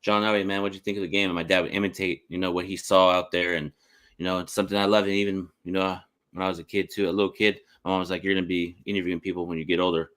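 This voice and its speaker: A man's voice: low voice, flat delivery, rapid-fire, a bit bright. A man hosting a casual sports podcast interview in informal, slangy talk. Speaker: podcast host.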